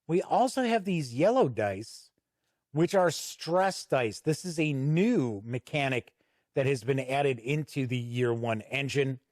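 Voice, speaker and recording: A man's voice: medium pitch, lively intonation, slow, a little mellow, dry recording, studio quality. A man hosting a tabletop gaming channel, giving a chatty, folksy, unscripted product review to camera. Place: indoor home studio.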